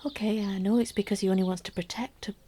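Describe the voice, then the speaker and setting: A woman's voice: mid-range voice, fairly even intonation, fast talker, dark tone, slight background noise, close to an everyday voice. A woman acting a romantic, wry, middle-aged mother who confides her private yearnings in an intimate radio drama monologue. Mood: startled, protective. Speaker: actress in a radio play monologue.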